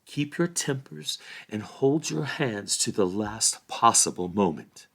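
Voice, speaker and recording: A grave and merciful hobbit, played by a man reading aloud. He talks in a measured, admonishing way, with lively movement in pitch, as a storybook hero counselling his friends. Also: vocal fry, exaggerated voice. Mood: solemn, admonishing. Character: grave, merciful hobbit calming his hot-headed companions.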